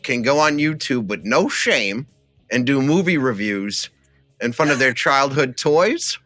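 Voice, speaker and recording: A man's voice: medium pitch, expressive, unhurried, sharp tone, no room sound, studio quality, faint music behind. A man co-hosting a film discussion podcast, talking with wry, theatrical flourishes. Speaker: podcast co-host. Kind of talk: film discussion podcast, chat and read-out copy.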